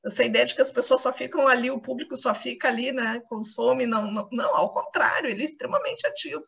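A high female voice with a Brazilian accent and a strong creak talks in a conversational academic style. The online call makes it sound dull and lo-fi. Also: expressive, quick pace, a bit bright. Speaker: academic panelist.

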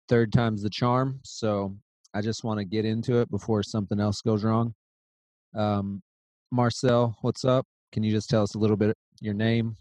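A man's voice: on the low side, darkish tone, dry recording. A man hosting a podcast, introducing a guest with playful, hyped-up banter. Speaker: podcast host. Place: Redding, California.

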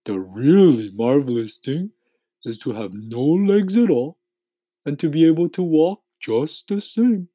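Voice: medium pitch, expressive, measured pace, husky, no echo, dull audio, voice pushed up. A performer voicing a prim, indignant earthworm from a storybook who grumbles and complains. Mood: prim, offended.

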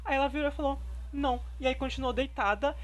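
Fast-talking young woman telling stories. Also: high-pitched. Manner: rapid, slangy, story-driven. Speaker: podcast co-host. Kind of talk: casual storytelling chat.

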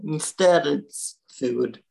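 A woman's voice: deep voice, drawn-out words, creaky, a little mellow, breathy edge. A woman co-hosting a podcast in casual chat, laid-back, mostly giving short agreeing replies. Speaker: podcast co-host.

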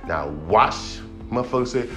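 A man in his thirties hosting his own podcast, a rapper ranting bluntly about music with heavy swearing and a voice he calls monotone. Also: medium pitch, normal speed. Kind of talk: profane casual rant.

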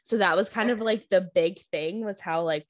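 A woman's voice: mid-range voice, normal speed. A young adult woman from the United States co-hosting a podcast, chatting casually with lots of "like" and "um". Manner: relaxed, fills with "like" and "um". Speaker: podcast co-host. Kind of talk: casual chat.

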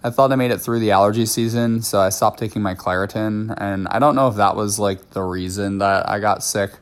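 A man's voice: on the low side, fairly even intonation, brisk, clear, resonant tone. Chatty man with a cold. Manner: chatty, candid, many fillers and self-asides. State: has a head cold. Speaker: podcast host. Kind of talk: casual solo podcast talk.

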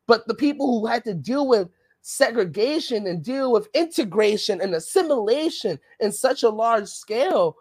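A podcast co-host rants with heat and swears freely in casual political talk. The voice sits at a middling pitch. The recording is dry, with no room sound. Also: darkish tone, breathy edge, no room sound, rough recording. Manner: passionate, ranting, swears often.

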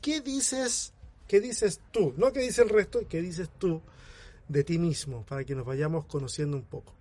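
A man in his forties hosting a casual podcast interview, chatty and quick to laugh, calling his guest by name. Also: a little high, a little mellow. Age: 40s. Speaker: podcast host.

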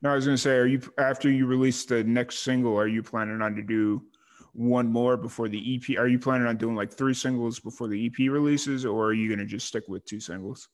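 A man hosting a music interview show, speaking in a relaxed way full of likes and you-knows. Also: mid-range voice, fairly quick. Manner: relaxed, filler-heavy, uses like and you know. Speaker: podcast host. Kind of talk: casual interview chat.

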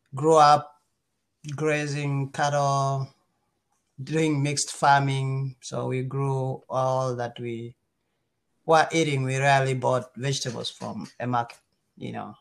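A middle-aged man with a Kenyan accent, talking casually as an interview guest and pausing often mid-sentence. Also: medium pitch, creaky.

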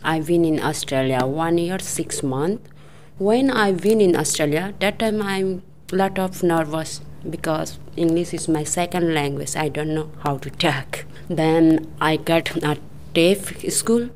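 A woman speaks hesitantly and very slowly, repeating words as she goes. Her voice is low, with a faint background hiss. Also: darkish tone. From Nepal. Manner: hesitant, with repetitions. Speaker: adult English learner. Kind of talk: personal introduction.

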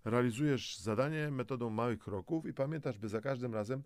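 A man's voice: mid-range voice, brisk, darkish tone, no echo, studio quality. A man presenting a self-help audio guide, speaking straight to the listener in an encouraging, motivational style. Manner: encouraging, addresses the listener directly. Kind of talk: motivational scripted narration.

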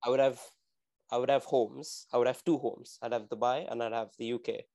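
A man's voice: mid-range voice, fairly even intonation, a little fast, gravelly, dark tone. A man in his twenties, co-hosting a podcast in casual chat, rambling with restarts and slang. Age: 20s. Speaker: podcast co-host.

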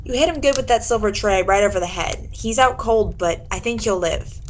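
A woman playing a sharp, confident female detective who questions suspects pointedly, in old-time radio drama style. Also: medium pitch, fairly quick, a little hiss or hum, natural voice. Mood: matter-of-fact. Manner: pointed, probing, theatrical.

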